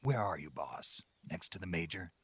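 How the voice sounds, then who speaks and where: An actor playing a gruff, terse special-forces soldier in a video game, with a gravelly, husky voice. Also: male voice, low voice, expressive, normal speed, a bit bright, no room sound, exaggerated voice. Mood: wary, businesslike. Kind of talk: video game cutscene acting.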